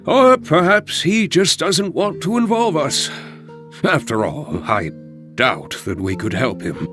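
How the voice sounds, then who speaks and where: An actor voicing a kindly elderly male winery worker in a game cutscene, speaking gently and fondly. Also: on the high side, animated. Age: older. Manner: gentle, fond, unhurried. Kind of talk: video game cutscene dialogue. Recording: game audio with background music.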